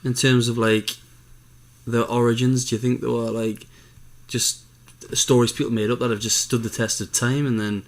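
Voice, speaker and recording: A man's voice: medium pitch, flat delivery, normal speed. A man co-hosting a podcast in casual chat, musing and asking questions with the odd swear word. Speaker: podcast co-host.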